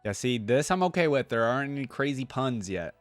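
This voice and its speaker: A woman's voice: rumbling low, animated, fairly quick, soft background music. A woman giving casual reaction commentary as a host, often echoing lines back in informal asides.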